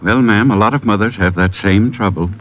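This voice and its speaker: An actor playing a reassuring, polished American announcer in a mid-century radio commercial. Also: male voice, on the low side, fairly quick, natural voice. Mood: reassuring, emphatic. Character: reassuring, authoritative male drug product pitchman. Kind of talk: 1940s-50s radio commercial pitch. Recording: dull vintage radio recording.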